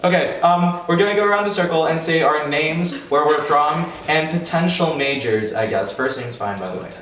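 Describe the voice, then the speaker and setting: A man's voice: a little high, normal speed, a bit bright. College guy hosting a chat, far from the mic, super echoey room. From Las Vegas, US. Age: young adult. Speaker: channel host, college student. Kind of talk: casual group chat. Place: college dorm common room. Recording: echoey room, distant mic, faint noise.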